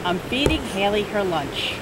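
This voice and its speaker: A woman's voice: mid-range voice, measured pace. An older woman voicing a busy, kindly mother caring for a baby, turning down requests firmly. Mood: emphatic. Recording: steady outdoor noise.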